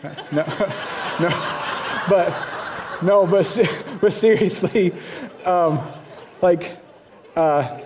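A middle-aged man with a Southern US accent preaches from the pulpit, his voice sitting a little high for a man. He talks in a folksy, self-deprecating way, often restarting his sentences as he goes. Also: drawn-out words, pauses often, noisy background. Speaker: pastor. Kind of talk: sermon.

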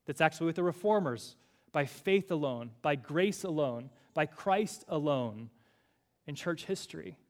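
A man's voice: fairly high pitch, lively intonation, a little slow. A male pastor preaching a sermon to a congregation in a church room, earnest and building through repeated phrases.

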